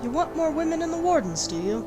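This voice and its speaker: A woman voicing a terse, composed young female elf warrior in a fantasy game, homemade recording under loud music. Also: high voice, big pitch swings, fast. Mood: cool. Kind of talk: fan voice-over for a game protagonist.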